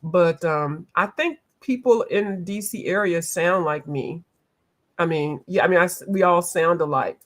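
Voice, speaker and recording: A fairly low-voiced woman chatting casually at home with viewers as she colors. She answers their comments out loud, laughs easily and keeps a loose, playful tone. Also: a little slow. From eastern United States. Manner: laughs often, playful, drifts between accents. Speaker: coloring livestream host. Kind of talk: casual chat with live viewers.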